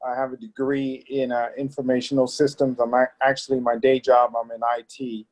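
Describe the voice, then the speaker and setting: A man in his fifties from Trinidad, raised in New York, a pastor answering interview questions in a relaxed, plainspoken way. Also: mid-range voice, a little fast.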